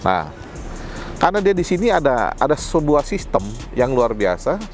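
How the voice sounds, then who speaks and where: A man's voice: mid-range voice, big pitch swings, moderate pace, faint music behind. A man giving a casual product demonstration, explaining things colloquially and speaking directly to viewers. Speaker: product presenter.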